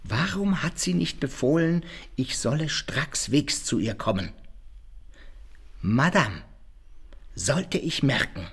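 An actor playing a proud, wary adventurer in a radio play, with measured, ceremonious, old-fashioned speech. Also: male voice, fairly high pitch, lively intonation, full character voice. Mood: wary, indignant. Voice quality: gravelly, husky. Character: proud, wary male adventurer recounting his exploits. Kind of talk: radio play acting and first-person narration in archaic German.

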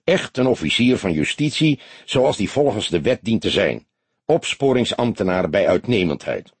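A man's voice: lowish voice, big pitch swings, normal speed, breathy edge, dry recording. A man reading the part of a young, keen police detective and sidekick in an audiobook, earnest and informative.

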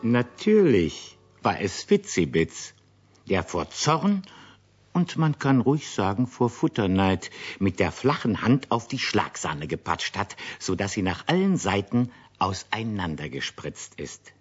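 A man narrating a children's radio play as a storyteller, with a husky voice. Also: on the low side, big pitch swings, a little slow, heavily acted. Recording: dull old radio recording.

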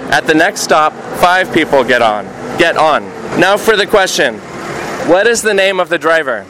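An American man with a slightly high voice presents and interviews for radio. He puts his questions in a clear, deliberate way, like someone running a street survey. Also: lively intonation, background noise. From United States. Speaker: radio show host and interviewer.

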